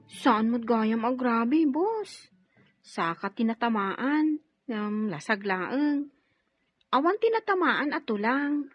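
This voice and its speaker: An actress plays a deferential Ilocano underling in a drama. Her voice is high and slow. Faint music runs under a slightly dull recording. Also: animated, breathy edge, no echo, exaggerated voice. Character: deferential gang underling reporting to the boss. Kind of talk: Ilocano radio-style drama acting.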